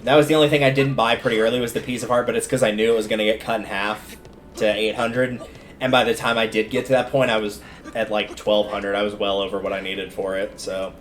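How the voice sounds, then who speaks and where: A man's voice: lowish voice, flat delivery, quick pace, a little hiss or hum. A man livestreaming a video game, giving casual commentary and thinking aloud in a conversational way as he plays. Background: video game music.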